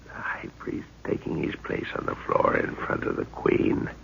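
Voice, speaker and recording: A middle-aged actor playing a stern male father leading a jungle expedition in a 1930s radio drama, gruff, commanding and scornful. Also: normal speed, a bit bright, whispered, close to an everyday voice. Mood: tense. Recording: dull old radio recording with hiss.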